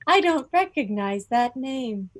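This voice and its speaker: A woman's voice: high-pitched, lively intonation, a little slow, no room sound. A woman hosting an informal quiz for a group, making announcements in a playful, mock-formal manner. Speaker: quiz host at a club meeting.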